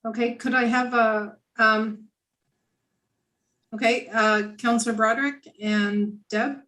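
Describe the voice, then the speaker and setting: A woman chairing a committee meeting over a video call, friendly and halting, with many fillers and restarts. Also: a little high, steady tone, fairly bright tone. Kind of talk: casual meeting chat.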